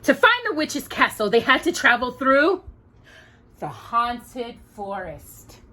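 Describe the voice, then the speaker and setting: A woman telling a children's story in an expressive, playful way, with casual asides. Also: a little high, slow pace.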